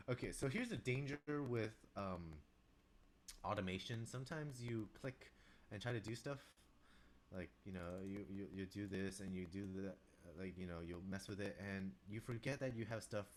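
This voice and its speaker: A young man with a very low voice, casually talking to himself while composing on a live stream, rambling with restarts and asides. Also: slight background noise. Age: young adult. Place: small room indoors. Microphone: desk microphone, wearing headphones.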